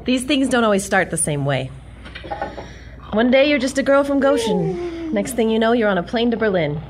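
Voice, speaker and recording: A woman's voice: fairly high pitch, very animated, moderate pace, noisy background. A woman playing a brash, wisecracking young American patriot heroine with an American accent in old-time radio theater. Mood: wry narrating. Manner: brash, sardonic. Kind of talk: 1940s-style radio theater acting.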